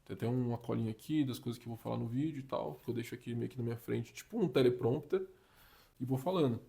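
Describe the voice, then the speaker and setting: A young Brazilian man talks straight to the camera in a laid-back, slangy way. His pitch sits in an ordinary middle range, and he gets lively and punchy when he makes a point. He sounds like a vlogger handing out practical tips. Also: quick pace, gravelly. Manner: slangy and animated, uses "tá ligado", "mano", "papo reto". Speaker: career vlogger giving communication tips. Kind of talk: casual advice vlog.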